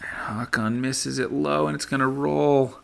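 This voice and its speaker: A middle-aged man giving relaxed play-by-play commentary as a voice-over, easygoing and dry, thinking aloud as he goes. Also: mid-range voice, unhurried, gravelly, slight background noise. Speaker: sports commentator. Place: voice-over, not at the course.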